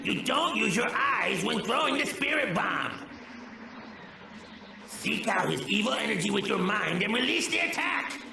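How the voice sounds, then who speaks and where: An earnest elderly deity teaching in an anime game. The voice is very high, heavily creaky and strained. He instructs emphatically with lively swings in pitch. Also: a little slow, slight background noise, full character voice. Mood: urgent instruction. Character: quirky elderly otherworldly mentor deity, earnest. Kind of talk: anime video game voice acting.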